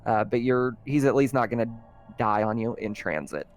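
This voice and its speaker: A man acts as game master for a tabletop session, talking players through scenes in a loose, halting narration. His pitch is average for a man, and music plays faintly behind him. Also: lively intonation. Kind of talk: running a tabletop roleplaying game. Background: background music.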